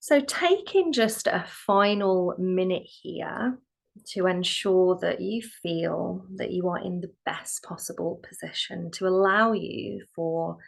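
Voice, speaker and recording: A woman leads a guided relaxation as a yoga nidra teacher. She speaks very slowly, leaving long, gentle pauses. Her soft, soothing voice drops into a creaky fry and at times turns breathy. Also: on the low side.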